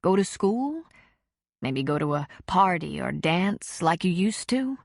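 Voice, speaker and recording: A woman voicing a calm, patient counselor who asks gentle, probing questions. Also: fairly low pitch, measured pace, gravelly, no room sound, studio quality, exaggerated voice.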